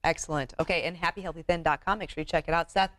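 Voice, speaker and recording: A woman's voice: sits low, fairly even intonation, racing, a bit bright, no room sound. A woman hosting an upbeat TV interview segment in a chatty, affirming way. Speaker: TV show host.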